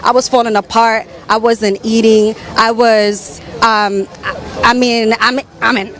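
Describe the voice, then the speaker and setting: A woman with a somewhat high voice speaks as a guest in an interview. She gives personal testimony in a candid way, often halting to find the right word. She is from New York City. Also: flat delivery, fairly bright tone. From born in the Caribbean, raised in New York City. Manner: candid, halting, searching for words. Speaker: interview guest, survivor advocate and theater storyteller.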